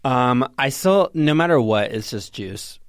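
Man, podcast co-host, deadpan banter, middle pitch, dry recording. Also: a little slow, vocal fry, dry recording. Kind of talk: casual comedic chat.

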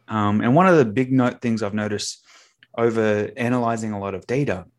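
Aussie guy chatting on a podcast, mid pitch, lots of uhs and likes. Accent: Australian. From Australia. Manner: chatty, many fillers, acts out quoted dialogue. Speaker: podcast guest. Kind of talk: casual interview chat.

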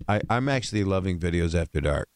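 An older man, a comedian, chatting as a guest in an interview, with a low voice and a rambling, jokey way of telling stories. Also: lively intonation, fast, smooth, no room sound, clean studio sound. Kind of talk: casual interview chat.

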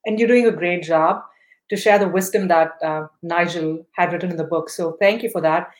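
A woman's voice: on the low side, moderate pace. A woman hosting a podcast interview, encouraging and praising her guest, with many fillers. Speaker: podcast host.

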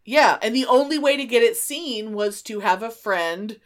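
A middle-aged woman co-hosting a podcast in casual, unscripted chat, animated and informal with easy swearing. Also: on the high side, moderate pace. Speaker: podcast co-host.

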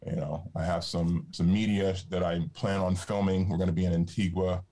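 A man with a deep, resonant low voice, chatting casually as a podcast guest with plenty of fillers. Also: moderate pace. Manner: relaxed, rambling with fillers. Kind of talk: casual conversation.

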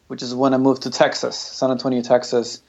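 Relaxed male guest, slightly muffled sound. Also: mid-range voice, brisk, gravelly, husky. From Ukraine. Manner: relaxed storytelling with fillers. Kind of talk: casual interview.

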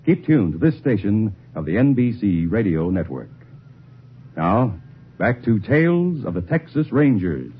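A male network announcer reading scripted promotions in a lively, persuasive way. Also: on the low side, unhurried. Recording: tinny old radio broadcast with hiss.

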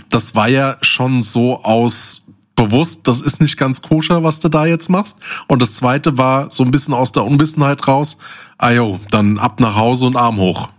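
A man's voice: mid-range voice, a bit bright. A man chatting casually as a conversation partner who reacts and asks questions. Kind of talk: casual chat.

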